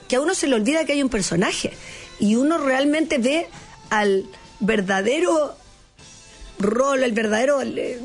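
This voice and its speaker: A Chilean woman with a mostly neutral accent hosts a TV talk show, effusive and full of praise. She tends to repeat her words as she builds a point. Her voice sits a little high, with soft music faintly under it. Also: breathy edge. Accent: neutral Chilean Spanish. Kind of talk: enthusiastic TV interview.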